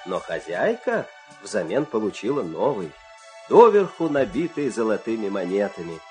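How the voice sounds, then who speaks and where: A man narrating a fairy tale dramatically, expressive, with measured pauses. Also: on the low side, soft background music.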